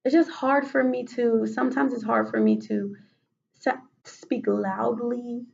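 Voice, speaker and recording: A woman with a raspy voice answering questions as a patient in a role-played clinical interview, hesitant with many fillers and restarts. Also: a little high, fairly even intonation, unhurried, darkish tone, highs cut off. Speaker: mock patient in a role-play case study.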